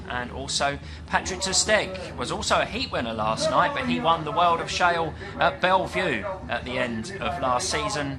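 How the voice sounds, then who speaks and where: A British man giving live commentary on a stock car race, chatty and explanatory, pausing often with fillers. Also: fairly high pitch. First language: English. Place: at a dirt track event.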